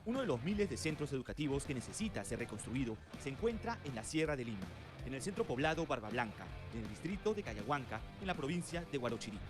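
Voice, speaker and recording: A man's voice: mid-range voice, sing-song, quick pace. A man narrating a news report. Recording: broadcast clip with music behind.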